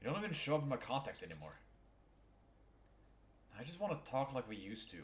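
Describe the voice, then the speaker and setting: A man's voice: mid-range voice, sing-song, fairly quick, echoey room, a little hiss or hum, lightly acted. A man voicing an earnest, awkward teenage boy with hesitant delivery in a video game fandub. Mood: sad, longing.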